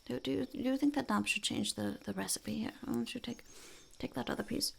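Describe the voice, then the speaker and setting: A woman voicing a blunt, smug female goblin entrepreneur in an unhurried, breathy, gravelly half-whisper for an ASMR roleplay. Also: fairly high pitch, a little hiss or hum. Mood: soft, inquisitive. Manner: speaks of herself in third person, unhurried, blunt. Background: crinkling wrappers and clicks.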